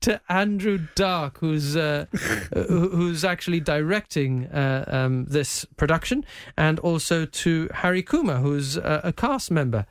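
A man hosting a live current affairs programme, asking questions in a conversational, halting way. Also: a little high, expressive, no echo, studio quality. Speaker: radio and TV programme host.

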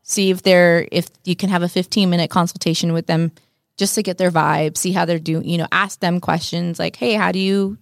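A middle-aged woman with a Mexican-American accent speaks in a somewhat low, dry voice. Spanish is her first language, and she talks earnestly, often repeating her phrases. The recording is close and free of room sound. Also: steady tone, a little fast, vocal fry, no room sound. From United States. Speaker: podcast guest, radio host. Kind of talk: casual conversation.

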